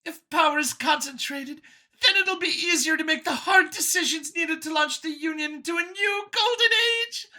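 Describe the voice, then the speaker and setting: A woman's voice: very high voice, moderate pace, pushed and bright, pitched above the performer's own voice, heavily acted. A woman voicing a nervous, boastful female alien scientist from an audio story, whiny and gushing as she justifies her elitist views. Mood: fervent, self-righteous. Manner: gushing, over-eager justifying.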